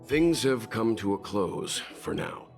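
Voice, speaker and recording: A man playing a composed, dutiful, serious character in a video game, speaking politely and calmly. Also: lowish voice, takes it slowly, faint music behind. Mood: sober. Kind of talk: English video game voice acting.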